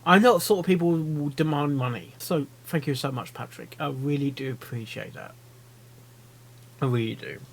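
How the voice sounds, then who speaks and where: A man giving casual live reaction commentary, rambling and repeating himself in a halting way. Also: mid-range voice, vocal fry. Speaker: reaction video host.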